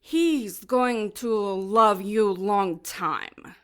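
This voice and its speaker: An actress playing a taunting, smugly sadistic female demon in an audio drama, making mock-polite threats with deliberate menace. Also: medium pitch, a bit bright, smooth.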